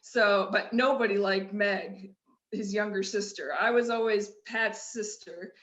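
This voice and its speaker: A woman's voice: medium pitch, steady tone, a little slow, a bit bright, dull audio. A middle-aged woman from the US Midwest with a Midwestern accent, telling her own story candidly as a podcast guest, with frequent restarts. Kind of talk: personal storytelling in a conversation. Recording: roomy echo.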